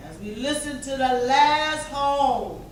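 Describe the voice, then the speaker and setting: Slow, high woman's solemn recitation, far off. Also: expressive. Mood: dramatic. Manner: formal, deliberate. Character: formerly enslaved narrator solemnly recounting emancipation. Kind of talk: readers theater recitation on stage. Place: church hall. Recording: distant mic, echoey hall, clear background noise.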